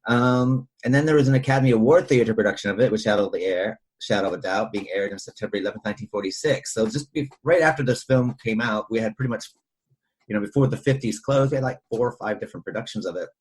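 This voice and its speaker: A man's voice: on the low side, fast, breathy edge, low-quality recording. A man hosting a podcast in a casual, chatty and informative way, discussing films with a rambling delivery. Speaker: podcast host.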